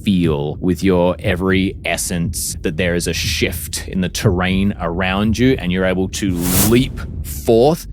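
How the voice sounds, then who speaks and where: Low-voiced man narrating as game master. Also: a little slow, few pauses, noisy background. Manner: vivid second-person scene description. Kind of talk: narrating a tabletop roleplaying game.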